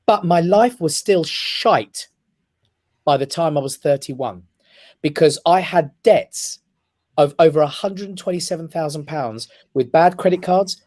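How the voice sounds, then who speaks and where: A man's voice: a little high, measured pace, darkish tone. A man, an emphatic and passionate radio guest. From England. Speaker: guest, comedy club owner. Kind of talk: casual radio interview. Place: radio studio.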